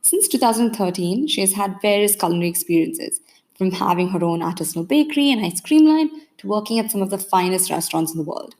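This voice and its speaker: A woman's voice: medium pitch, lively intonation, quick pace, a little mellow. Upbeat woman podcast host. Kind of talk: read introduction and interview questions.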